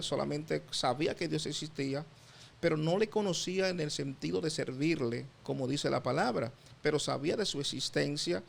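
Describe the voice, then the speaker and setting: A middle-aged Dominican man, a pastor, giving his testimony in an interview, halting often with fillers and repeated words. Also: a little high, gravelly, slight background noise. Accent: Dominican Spanish. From Dominican Republic.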